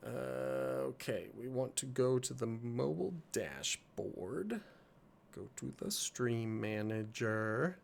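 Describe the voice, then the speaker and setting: A man's voice: medium pitch, lively intonation, takes it slowly, vocal fry, a little hiss or hum. A slightly sniffly man hosting a livestream, chatting casually and rambling in a self-deprecating way. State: slightly sniffly, congested from allergies. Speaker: live streamer and host of a game playthrough.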